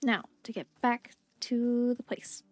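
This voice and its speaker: Female gameplay commentator, higher register, casual and chatty, little asides to self, music underneath. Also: fairly even intonation, slow pace, dry recording. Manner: chatty, murmuring asides to herself. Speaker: video game streamer. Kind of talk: casual gameplay commentary with dialogue read aloud. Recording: game music behind the voice.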